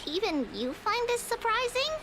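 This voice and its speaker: An actress playing a gentle, girlish seer in a video game, courteous and formal. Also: extremely high pitch, big pitch swings, moderate pace, noisy background. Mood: earnest. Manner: formal, reassuring.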